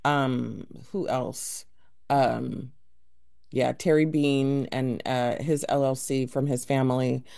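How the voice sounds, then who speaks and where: Radio host, slow speech, gravelly fry, thinking aloud, dry sound. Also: mid-range voice, little pitch movement, a little mellow, no echo. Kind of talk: call-in radio chat.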